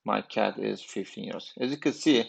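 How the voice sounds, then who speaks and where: A man in his thirties giving a screencast programming lesson, halting and thinking aloud with fillers as he types. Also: on the low side, gravelly, husky. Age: 30s. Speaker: programming instructor.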